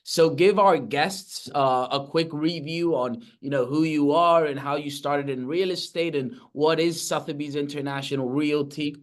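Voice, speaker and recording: A man's voice: a little high, steady tone, measured pace, hardly any pauses. A man from Spain with a Spanish accent, hosting an interview casually with lots of fillers and restarts. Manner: enthusiastic, many fillers and restarts. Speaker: podcast host, real estate agent. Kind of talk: casual video-call interview.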